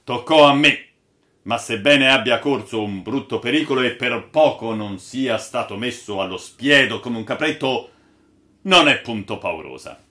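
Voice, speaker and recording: A man's voice: medium pitch, animated, measured pace, bright, forceful tone. A man voicing a boastful, sardonic old sailor in a storybook, telling tales in a grandiloquent, dramatic way. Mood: reassuring. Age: older.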